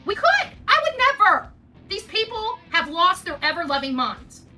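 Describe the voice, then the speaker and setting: A woman's voice: extremely high pitch, very animated, moderate pace, pushed and bright, soft background music. A woman content creator in an informal, unscripted rant to her audience, combative and emphatic, often repeating herself. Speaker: online video content creator.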